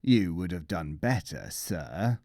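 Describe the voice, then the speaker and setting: A man's voice: sits low, very animated, slow, a little mellow, no room sound, studio quality, pitched below the performer's own voice, exaggerated voice. A man voicing a fearsome, boastful soldier in a folk tale, with a grandiose manner. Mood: scornful. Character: fearsome, boastful male warrior, captain of soldiers.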